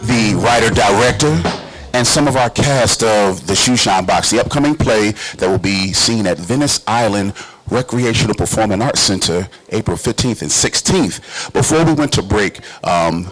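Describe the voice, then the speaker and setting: A man's voice: lowish voice, expressive, normal speed, rough recording. A man who hosts and acts, talking casually in an emphatic, slangy way on a talk show. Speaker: talk show host and stage actor.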